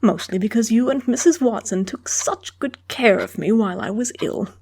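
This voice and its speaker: A woman playing a kindly, frail elderly aunt with gentle, affectionate delivery in a comic dub. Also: a little high, moderate pace, breathy edge. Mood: grateful, affectionate.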